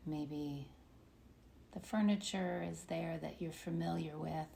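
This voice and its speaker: Slow, low-voiced woman guiding meditation. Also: fairly even intonation, vocal fry, mellow. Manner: soothing, unhurried, with long pauses. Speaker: meditation guide. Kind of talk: guided meditation, body scan. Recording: faint background noise.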